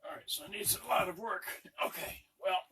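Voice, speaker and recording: A man's voice: sits high, moderate pace. A man giving a casual hands-on demonstration, muttering to himself as he works. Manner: muttering to himself while working. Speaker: electronics unboxing presenter. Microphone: camera on a tripod. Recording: noisy room recording with clicks and handling.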